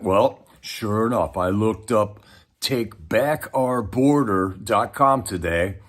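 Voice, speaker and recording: A man hosting a livestream with casual, rambling, folksy commentary, speaking straight to his viewers. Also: fairly low pitch. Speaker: livestream host.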